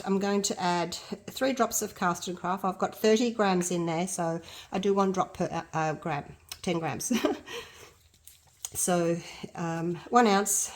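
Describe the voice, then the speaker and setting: A middle-aged Australian woman talks through a craft demonstration in an easy, chatty way. Her nose is blocked up, so her voice sounds congested, and she thinks aloud and pokes fun at herself as she goes. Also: mid-range voice. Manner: chatty, self-deprecating, thinking aloud while working. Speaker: resin art tutor. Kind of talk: casual craft tutorial.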